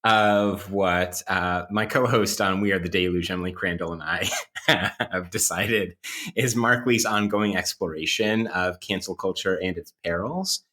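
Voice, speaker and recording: A man's voice: low voice, fairly even intonation, unhurried, sharp tone. A man talking casually and analytically on a podcast, wry and academic, circling around his points as he explains. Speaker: podcast co-host playing interview guest.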